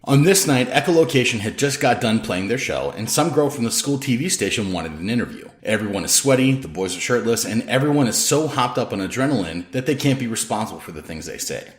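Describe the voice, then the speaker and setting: A man's voice: mid-range voice, expressive, fairly quick, hardly any pauses. A man reading his own novel aloud as scripted audiobook narration, with dramatic, emphatic storytelling. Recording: roomy, echoing sound.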